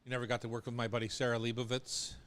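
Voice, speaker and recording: A man's voice: mid-range voice, completely flat delivery, rapid-fire, fairly bright tone, a little hiss or hum. A middle-aged man hosting a casual radio show with teasing, joking banter.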